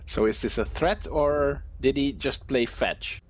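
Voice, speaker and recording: A man's voice: mid-range voice, a little slow. A man playing a tabletop roleplaying game, chatting casually with dry, wry asides.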